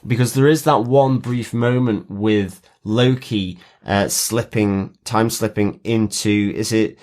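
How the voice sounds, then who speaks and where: Low-pitched man chatting about television. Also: fairly even intonation, takes it slowly, a little mellow. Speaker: podcast co-host. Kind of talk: casual TV recap discussion.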